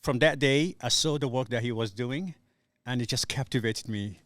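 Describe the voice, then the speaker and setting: Earnest Somali-born man, smooth voice. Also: medium pitch, moderate pace, a bit bright, no echo, clean studio sound. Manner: earnest, hesitant storytelling with many fillers. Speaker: guest. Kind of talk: casual podcast interview.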